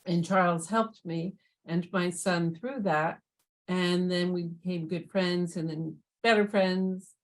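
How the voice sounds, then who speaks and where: A woman's voice: on the low side, fairly even intonation, slow. An older woman talking conversationally as an interview guest, telling a personal story. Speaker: podcast guest, co-founder.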